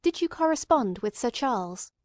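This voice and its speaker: A woman voicing a persistent gentleman investigator in an audiobook, questioning formally, courteously and insistently. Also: fairly high pitch, very animated, moderate pace, no echo, voice pushed up. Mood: probing. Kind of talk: dramatic audiobook character reading.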